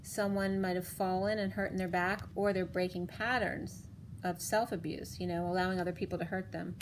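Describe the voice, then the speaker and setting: A woman reading tarot cards for viewers in a casual, rambling way, her voice going hoarse. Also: lowish voice, steady tone, background noise. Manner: rambling, halting restarts. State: losing her voice. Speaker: tarot reader. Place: home room, table of cards. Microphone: camera on the cards, speaker off screen.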